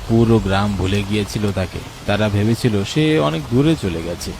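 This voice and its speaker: A man's voice: lowish voice, expressive, brisk, vocal fry, background noise. A man narrating a scripted horror story as its storyteller.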